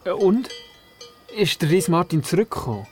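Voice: mid-range voice, very animated, normal speed, darkish tone, soft background music, close to an everyday voice. A performer playing a curious, doubting young boy in a Swiss German radio play, asking questions and narrating. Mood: curious, puzzled. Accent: Swiss German, Glarus area. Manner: inquisitive, skeptical.

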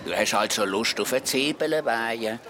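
An actor playing a volatile middle-aged uncle with a Swiss German accent and a theatrical, morbidly playful manner in a radio drama. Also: male voice, mid-range voice, measured pace, background noise, natural voice. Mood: jovial.

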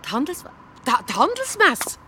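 A woman's voice: very high voice, huge pitch swings, moderate pace, sharp tone, full character voice. An actress playing an indignant, combative woman, confrontational in manner, in a radio drama. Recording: clear traffic noise.

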